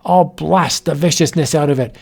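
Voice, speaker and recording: A man's voice: a little high, normal speed, creaky, a little mellow, soft background music. A man doing a blustering tough cop. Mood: eager.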